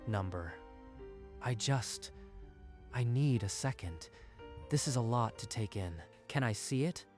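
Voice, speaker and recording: Manga dub male lead: man as reserved office worker, mid-pitched, halting, bewildered, faint music behind. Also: lively intonation, darkish tone, natural voice. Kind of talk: dramatic manga dub acting. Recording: music under the voice.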